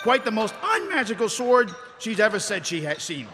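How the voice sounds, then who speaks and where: A man's voice: high voice, huge pitch swings, normal speed, loud music behind the voice. A man playing an awkward, fatherly dwarf king in live radio theatre, hesitant, stammering and apologetic. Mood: brisk, fatherly. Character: awkward, fatherly dwarf king breaking news to his son. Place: live convention stage. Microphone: stage microphone on stand. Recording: reverberant hall stage, faint noise.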